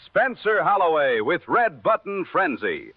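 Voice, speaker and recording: A man playing a genial, courtly 1960s radio host, speaking formally with measured, dry humour. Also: on the high side, big pitch swings, narrow, tinny audio, faint music behind. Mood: genial, wry. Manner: measured, formal, dryly humorous. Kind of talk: 1960s radio broadcast acting. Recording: dry, band-limited vintage radio sound.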